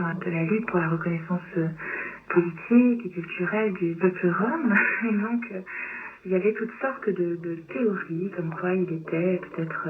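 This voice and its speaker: A woman writer answering interview questions in a thoughtful, halting way, pausing between phrases. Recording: tinny, noisy low-bitrate shortwave radio.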